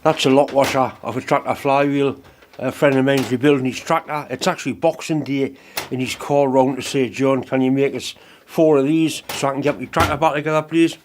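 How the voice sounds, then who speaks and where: A man's voice: medium pitch, steady tone, brisk, a little hiss or hum. Man with a cold, blunt workshop talk. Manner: plain-spoken, blunt, chatty. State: full of a cold, voice off. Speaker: workshop channel host. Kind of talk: casual workshop vlog. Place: home workshop.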